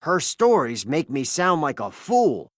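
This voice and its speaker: Narrator as male pirate captain, storybook villain, blustering, commanding, theatrical, animated pitch. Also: unhurried, dry recording, clean studio sound. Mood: fuming.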